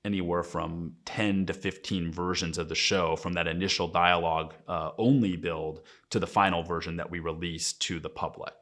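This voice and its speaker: A man's voice: low-pitched, steady tone, normal speed, few pauses, a bit bright. A man speaking as audio production staff, explaining a process in interview style.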